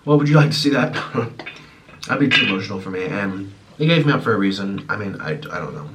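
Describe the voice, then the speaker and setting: A man's voice: fairly low pitch, lively intonation, brisk, a bit bright. A man in his twenties, born in Ukraine and raised in the United States, chatting and rambling as he eats on camera at home. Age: 20s. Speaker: mukbang host. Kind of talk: casual chat while eating. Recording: slightly distant mic, faint room noise.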